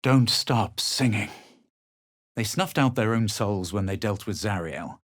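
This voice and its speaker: A haughty male adventurer from a fantasy video game. His voice sits low and slightly raspy, with a clipped, dramatic air of superiority. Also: a little slow, a bit bright, no room sound, studio quality. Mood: haughty, wary. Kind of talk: video game voice lines and narration.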